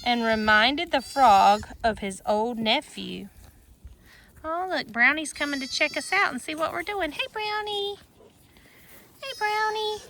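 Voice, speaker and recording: Slow, sing-song woman reading a storybook. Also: high-pitched, a little hiss or hum. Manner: expressive sing-song storytelling with chatty asides. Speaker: teacher reading aloud to children. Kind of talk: reading a rhyming picture book aloud. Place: farm with goats, outdoors.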